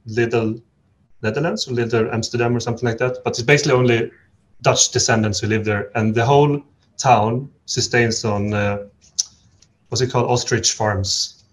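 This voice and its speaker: A man from Sweden, a podcast guest in casual chat, telling stories in a relaxed way with many fillers. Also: medium pitch, normal speed.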